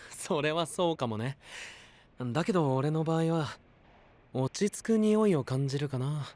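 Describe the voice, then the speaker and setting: Easygoing anime dude, casual n teasing, voice a little high. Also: male voice, lively intonation, unhurried, darkish tone. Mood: relaxed. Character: easygoing, friendly, faintly guarded young man. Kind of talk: anime audio drama acting.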